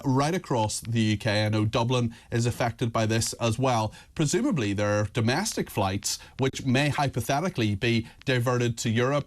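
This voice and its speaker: A male news presenter in a studio, asking his guest brisk, conversational questions during a live interview. His voice is mid-pitched and even, and he talks through his points in a ready flow. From United Kingdom.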